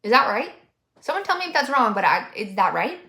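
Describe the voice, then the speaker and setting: A woman's voice: fairly high pitch, big pitch swings, fairly quick, bright, forceful tone. A woman in her twenties giving sarcastic, mocking reaction commentary in a chatty way. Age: 20s. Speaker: commentary YouTuber. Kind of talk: reaction commentary on a vlog clip. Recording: roomy echo.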